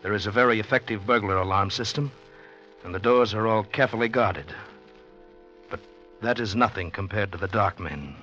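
An actor in 1940s radio drama playing an anxious, earnest gentleman, a bewildered newcomer who speaks haltingly and intensely. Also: fairly low pitch, soft background music, lightly acted. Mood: tense, brooding. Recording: dull old 1940s radio recording.

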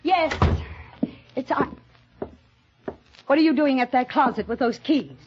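A woman's voice: sits high, a little hiss or hum. An actress playing a tense, secretive young woman, narrating and acting dramatically in a 1940s radio thriller. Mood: sharp, defensive. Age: young adult. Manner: dramatic, hushed asides with halting pauses. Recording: dull old radio recording with music.

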